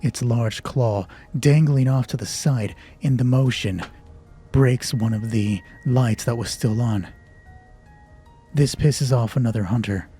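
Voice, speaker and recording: A man's voice: mid-range voice, measured pace, dark tone, breathy edge, faint music behind. A man narrating a solo tabletop roleplay as game master, thinking aloud in a conversational way.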